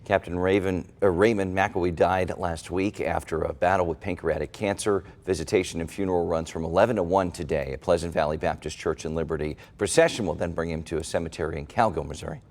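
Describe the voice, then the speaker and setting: Male American morning news anchor, brisk scripted delivery, slightly low voice. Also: steady tone, a little mellow.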